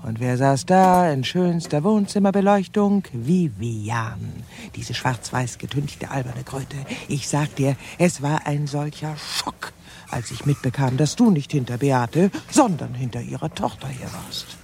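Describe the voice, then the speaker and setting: A woman's voice: rumbling low, measured pace, vocal fry, warm tone, slight background noise, heavily acted. An actress playing an obsessive, bitter, lovelorn middle-aged woman in a radio crime drama, intimate and often dropping to a whisper.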